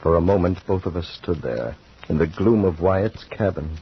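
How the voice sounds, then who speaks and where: An actor playing an anxious young passenger who narrates and reacts excitably in a 1940s radio drama. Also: male voice, sits low, normal speed, husky, heavily acted. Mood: grim narration. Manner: nervous, excitable. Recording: dull noisy old radio recording.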